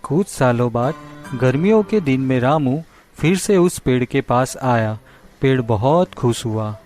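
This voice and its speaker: A man narrating a scripted children's story in a simple, measured way. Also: mid-range voice, lively intonation, darkish tone, soft background music.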